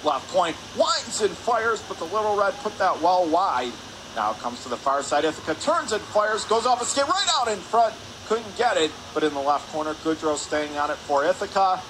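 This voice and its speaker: A man's voice: high-pitched, big pitch swings. A man calling live radio hockey play-by-play in clipped phrases from an ice rink. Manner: clipped rapid phrases, rising on shots. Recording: clear background noise, arena ambience.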